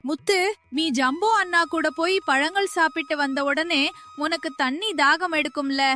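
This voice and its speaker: A woman's voice: high voice, moderate pace, pushed and bright, faint music behind. A woman voicing a talkative, eager, friendly little rabbit in a children's cartoon story. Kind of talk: children's animated story dialogue.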